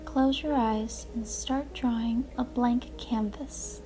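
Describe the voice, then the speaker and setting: A woman hosting a guided relaxation session, speaking in a calm, gentle, deliberate way with soothing narration. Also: fairly high pitch. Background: music playing behind the voice.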